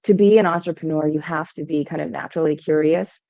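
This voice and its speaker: A woman's voice: deep voice, steady tone, quick pace, dry recording. A young adult woman from Hawaii, a startup founder answering podcast interview questions in a conversational way, often saying "um" and "right?". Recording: dull lo-fi sound.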